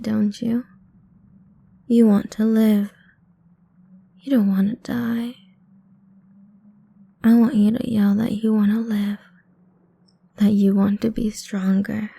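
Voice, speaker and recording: A woman's voice: medium pitch, no echo, exaggerated voice. A woman playing a cruel, playful female vampire in an ASMR roleplay, taunting her prey in a condescending, half-whispered way. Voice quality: dark, soft, breathy, creaky.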